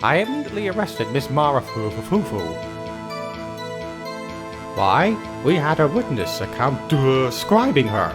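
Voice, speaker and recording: An actor playing a bumbling, nervous police detective with a heavy stammer and a deferential manner, in a video game dub. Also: male voice, mid-range voice, very animated, measured pace, pauses often, over music. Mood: flustered, stammering.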